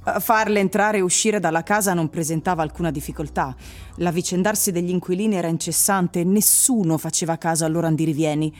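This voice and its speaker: A woman's voice: on the low side, fast talker. A woman reading scripted story narration for a radio drama. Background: music.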